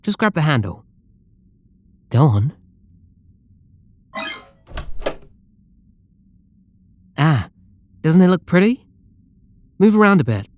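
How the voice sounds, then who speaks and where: A man's voice: a little high, big pitch swings, measured pace, a little mellow, no room sound. A man with a British accent playing an obsessive, possessive, lovestruck game developer in an intimate roleplay audio drama, doting and controlling. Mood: eager, enchanted. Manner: doting, intimate, pleading endearments.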